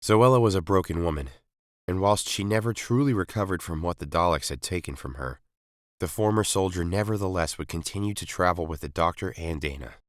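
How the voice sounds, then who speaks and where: A man with a low voice narrates a science fiction story from a script. He works as a storyteller reading prose aloud. The sound is dry, with very little room around it. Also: fairly quick, no echo. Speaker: story reader.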